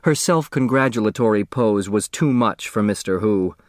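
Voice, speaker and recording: A man reading a story as an audiobook narrator, in expressive scripted narration. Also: fairly low pitch, normal speed, no room sound, clean studio sound.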